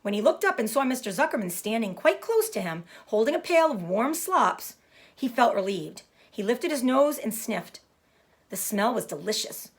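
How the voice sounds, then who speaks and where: A woman giving an expressive storybook read-aloud for children, pausing to explain things simply. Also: on the high side. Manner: explanatory, addressing young listeners. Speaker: storybook reader.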